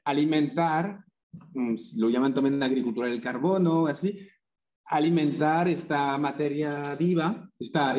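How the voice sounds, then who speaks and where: A man's voice: a little high, darkish tone. A man with a French accent presenting to a workshop as a farmer, talking informally over slides with many fillers, restarts and joking asides.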